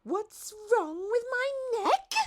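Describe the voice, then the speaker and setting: A woman's voice: very high voice, animated, very slow, voice pushed up, full character voice. A woman voicing a proud, superior female giraffe from a children's storybook, polite but haughty. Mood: indignant.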